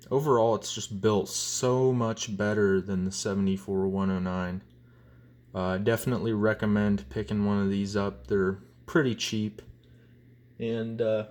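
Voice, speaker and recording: A man giving a casual show-and-tell review as a hobbyist, in a rambling, hesitant way, recorded on a phone. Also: on the low side. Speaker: hobbyist collector presenting items. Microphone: phone, handheld or propped nearby.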